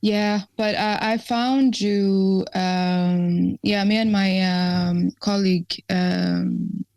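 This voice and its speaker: A woman from Ethiopia, guest on an interview, speaking casually with many pauses, ums and restarts. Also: mid-range voice, steady tone, darkish tone, no echo. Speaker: activist guest.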